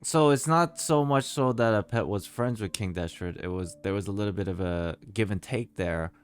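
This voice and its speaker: A man's voice: fairly low pitch, moderate pace, clean tone. A man giving casual playthrough commentary, musing aloud informally as a game streamer.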